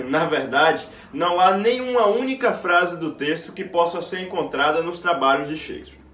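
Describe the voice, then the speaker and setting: A man's voice: on the high side, animated, moderate pace, fairly bright tone. A man with a Brazilian accent, an actor reciting a scripted theatrical monologue. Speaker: stage actor. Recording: echoey room, distant microphone.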